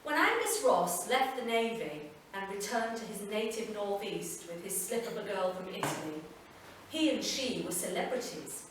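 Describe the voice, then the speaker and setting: A woman reading a literary story aloud at a live event, measured and expressive, recorded from far off. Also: medium pitch, a little hiss or hum. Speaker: reader. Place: performance venue. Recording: echoey hall from far off, faint ambience.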